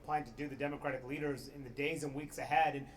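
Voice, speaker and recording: A man's voice: mid-range voice, fairly even intonation, quick pace. A man delivering a live television news report as a correspondent. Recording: faint ambient noise, live TV report.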